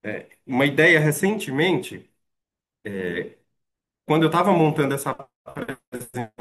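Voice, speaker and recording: A man's voice: a little high, very animated, moderate pace, fairly bright tone. Maranhão-accented man giving an online lecture. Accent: Maranhão Brazilian Portuguese. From Maranhão, Brazil. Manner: polite, hesitant with fillers. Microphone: video call.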